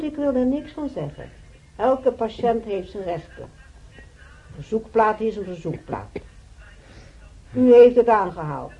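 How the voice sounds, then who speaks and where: An actress playing a peevish hospital patient in a radio play, grumbling with indignation and sarcasm. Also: female voice, on the high side, husky. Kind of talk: Dutch radio play acting. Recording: dull radio drama recording.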